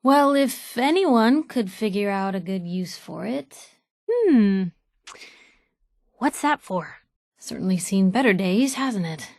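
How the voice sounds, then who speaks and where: A woman's voice: medium pitch, expressive, slow, a little mellow, no echo, studio quality. A woman voicing a feisty young female journalist, a wry, wisecracking companion in a video game. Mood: curious, impressed. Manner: wisecracking, sardonic, slangy. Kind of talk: video game voice acting, short combat and banter lines.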